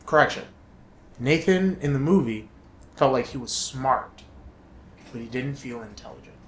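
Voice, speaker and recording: A man co-hosting a casual chat show, talking in a slow, laid-back way and often trailing off to restart his sentences. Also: mid-range voice, animated, fairly bright tone, slight background noise. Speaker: podcast co-host. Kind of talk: casual chat reviewing a movie.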